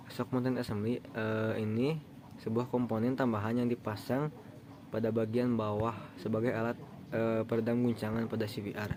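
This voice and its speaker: A young man giving an informal student presentation, explaining haltingly with casual asides. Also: on the low side. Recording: clearly noisy room recording.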